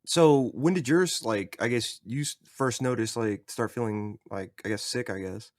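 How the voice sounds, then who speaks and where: A man's voice: mid-range voice, normal speed, no echo, clean studio sound. A man hosting a podcast who asks questions and throws in slangy interjections. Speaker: podcast host. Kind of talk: casual podcast chat.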